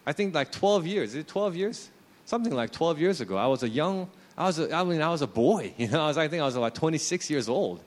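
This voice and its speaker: A man's voice: a little high, animated, quick pace. A man in his late thirties, a pastor, preaching a sermon in a conversational, self-deprecating way. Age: 30s.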